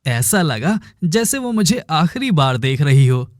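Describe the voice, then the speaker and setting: A man's voice: fairly high pitch, very animated, unhurried, no room sound, clean studio sound. A man voicing an earnest, brooding young village woodcutter in a melodramatic cartoon. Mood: anxious.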